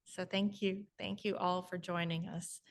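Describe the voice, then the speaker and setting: A woman leads a group through prayer and a guided meditation. Her voice is lowish and very slow. Her tone is gentle and soothing. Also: level tone. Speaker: meditation leader.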